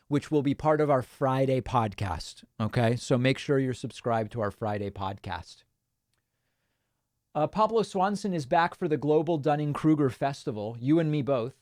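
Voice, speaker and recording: A man's voice: mid-range voice, a little mellow, no echo, clean studio sound. A man from Argentina, a native Spanish speaker, hosting a political livestream and casually reading viewer comments aloud with frequent ums and uhs.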